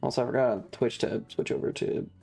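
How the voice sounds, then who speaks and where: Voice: medium pitch, fairly even intonation, fast, gravelly, mellow, husky, faint music behind. A streamer giving casual live commentary on a video game in an irreverent, sweary, rambling way.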